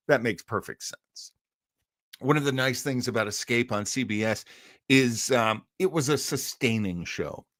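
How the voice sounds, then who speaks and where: A man's voice: medium pitch, a bit bright, no echo, studio quality. A man hosting an old-time radio listening show, chatting casually in a rambling way full of asides. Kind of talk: casual live-stream chat.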